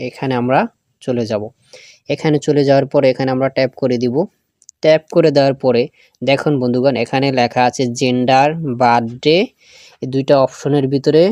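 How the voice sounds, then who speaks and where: A man's voice: medium pitch, darkish tone, no room sound, clean studio sound. A man hosting a step-by-step phone app tutorial, talking directly to viewers and often repeating his phrases. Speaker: tech tutorial host.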